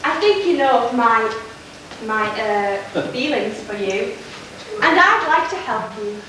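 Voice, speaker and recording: A woman playing a scheming, smooth-talking nobleman in an amateur stage pantomime, recorded from afar in a hall. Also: fairly high pitch, lively intonation, slow, sharp tone, exaggerated voice. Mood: smooth, courting. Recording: echoey hall, distant mic, faint noise.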